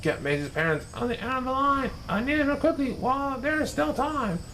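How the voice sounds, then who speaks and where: A man's voice: extremely high pitch, animated, normal speed, a bit bright, a little hiss or hum, full character voice, rough recording. A man voicing a pompous, learned male school principal in a storybook, speaking in a declamatory way. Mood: alarmed.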